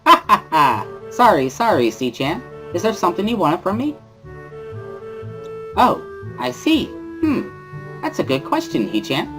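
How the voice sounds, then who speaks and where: A man voicing a bubbly, loud teenage schoolgirl with an exuberant, sing-song, giggly manner in a visual novel reading. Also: sits high, big pitch swings, measured pace, long pauses, music in the background, voice pushed up, low-quality recording. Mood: cheerful, giggly.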